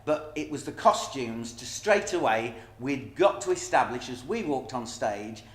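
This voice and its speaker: A man's voice: medium pitch, animated, a little slow, fairly bright tone. A middle-aged man telling stories emphatically in a casual interview about his stage work. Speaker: pantomime dame performer. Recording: echoey room.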